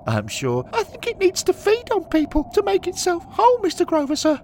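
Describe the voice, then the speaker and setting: A man's voice: huge pitch swings, slow, a little hiss or hum, full character voice. A man voicing a trembling, deferential thirteen-year-old boy in an audio story. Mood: frightened. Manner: trembling, high-strung.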